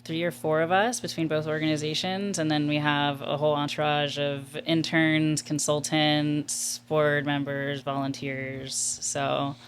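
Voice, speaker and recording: A woman's voice: deep voice, flat delivery, a little slow, few pauses, a little hiss or hum. A woman who leads a nonprofit, answering interview questions in an earnest, reflective and candid way. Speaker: nonprofit executive director, guest.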